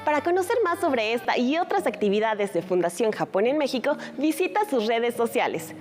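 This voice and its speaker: A woman presenting a culture segment on a news program, reading a friendly, clearly paced script. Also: fairly high pitch, big pitch swings, moderate pace, darkish tone, faint music behind.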